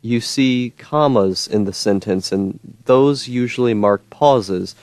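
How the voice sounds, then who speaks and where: A young man talking to camera in a rambling monologue with a droning, monotone voice, full of ums, restarts and frustrated swearing. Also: mid-range voice, slow, dry recording. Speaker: YouTuber. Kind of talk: personal monologue.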